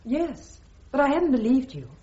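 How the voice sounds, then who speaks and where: Female, earnest army captain in the witness box, highish voice, brisk and precise, dull radio recording. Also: very animated, dark tone. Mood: earnest, reluctant. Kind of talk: radio drama acting.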